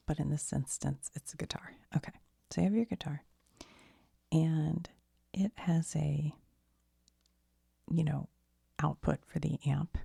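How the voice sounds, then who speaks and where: A woman host talking in a breathy, whispery, husky ASMR style, casually rambling through an explanation in a halting, self-deprecating way. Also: sits low, warm tone, dry recording, studio quality.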